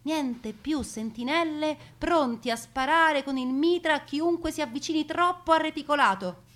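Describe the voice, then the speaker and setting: A woman performs a narrative piece as a storyteller. She speaks expressively in the first person, placing emphatic pauses and setting out details word by word. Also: high voice, a bit bright. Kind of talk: theatrical first-person narration of family war memories.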